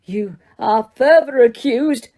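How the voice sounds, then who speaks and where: A woman's voice: normal speed, dark tone, natural voice. A woman reading aloud, voicing a stern older male judge who bellows with cold fury. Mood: furious. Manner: bellowing, booming with rage.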